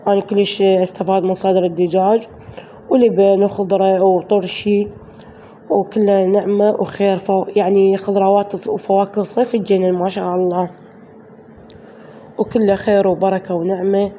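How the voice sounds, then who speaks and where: A woman with an Iraqi Arabic accent, hoarse from a flu, chatting through a voice-over of her daily home routine for her viewers. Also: medium pitch, flat delivery, normal speed, dark tone, slight background noise. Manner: chatty, addresses viewers as girls. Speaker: vlogger.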